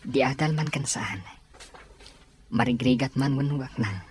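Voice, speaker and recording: A man's voice: mid-range voice, a little slow, natural voice. A man playing an earnest, humble young suitor in a radio drama, sincere and pleading. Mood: earnest, tender. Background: music.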